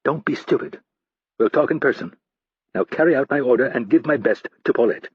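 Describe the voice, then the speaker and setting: A man's voice: mid-range voice, animated, a little fast, husky, no echo, voice pushed up. A man doing a cold domineering spy boss. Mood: curt. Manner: curt and commanding. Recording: dull, lo-fi dry audiobook recording.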